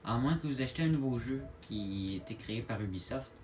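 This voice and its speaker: A man with a Québécois French accent giving a casual tutorial to viewers, halting and repeating his words as he goes. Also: medium pitch, expressive, darkish tone. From Quebec, Canada. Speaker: gaming video creator. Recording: clear background noise, home-made recording.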